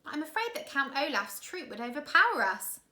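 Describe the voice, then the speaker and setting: A woman's voice: high-pitched, very animated, fairly quick, bright, forceful tone, voice pushed up, heavily acted. A woman voicing a worried, resourceful orphan girl in a storybook, earnest, in a roomy space. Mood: earnest, downhearted. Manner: earnest, downhearted. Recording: roomy, echoey room sound.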